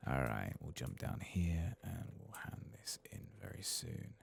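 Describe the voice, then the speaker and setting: A man softly commenting on his gameplay in half-whispered, mumbling asides, his voice lower than usual after singing, close to the microphone. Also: sing-song, takes it slowly. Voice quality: very deep, gravelly and husky. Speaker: ASMR gaming channel host. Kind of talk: soft-spoken gameplay commentary.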